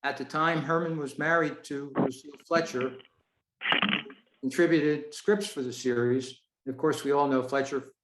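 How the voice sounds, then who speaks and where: A man's voice: fairly high pitch, fairly even intonation, normal speed, background noise. A man presenting a prepared talk to a club over a video call, in a roomy-sounding space.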